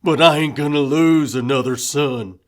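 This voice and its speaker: A storyteller voicing a gruff, suspicious rural father in a rhyming tale, speaking loudly with a scolding drawl. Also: mid-range voice, animated, slow pace, heavily acted. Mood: angry. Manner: loud, scolding, hard drawl.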